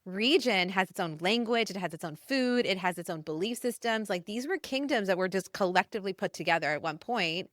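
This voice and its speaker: A woman's voice: medium pitch, animated, a little fast, few pauses, a bit bright, no room sound, clean studio sound. A woman from India who grew up abroad, hosting a podcast and building her points thoughtfully and expressively. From India, raised abroad. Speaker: podcast host. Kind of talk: casual podcast conversation.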